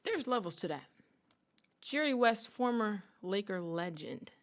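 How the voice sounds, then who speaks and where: A woman's voice: medium pitch, very animated, a little slow. A woman giving playful reaction commentary to camera, mock-serious and theatrical, hammering phrases through repetition. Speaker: sports fan reaction video host.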